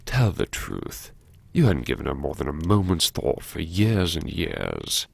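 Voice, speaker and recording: A man playing a brooding, wry academic who talks to himself in an intimate, sardonic audiobook monologue full of regret, with a gravelly voice. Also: extremely low pitch, huge pitch swings, exaggerated voice. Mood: somber, musing. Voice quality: gravelly, creaky. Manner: sardonic, musing, self-reproachful, with sighs and pauses. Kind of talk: first-person audiobook monologue, intimate dramatic narration.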